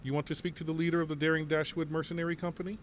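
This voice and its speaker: A man playing a composed general who leads a mercenary company, speaking with calm, assured authority. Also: on the high side, racing, slight background noise, natural voice. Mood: commanding. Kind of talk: fan audio drama acting.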